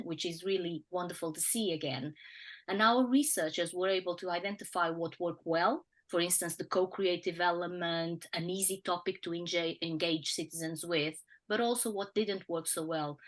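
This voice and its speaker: A woman researcher with a croaky voice, presenting project findings in a webinar, measured with fillers and throat clearing. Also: fairly low pitch, a bit bright.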